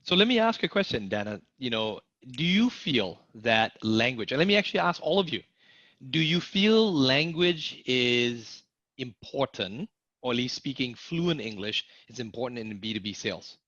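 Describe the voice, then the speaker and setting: A man's voice: medium pitch, moderate pace, no echo, lo-fi sound. A man teaching a live online sales class, energetically asking viewers to answer in the chat. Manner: energetic, asks audience to type in the chat. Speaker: sales course instructor.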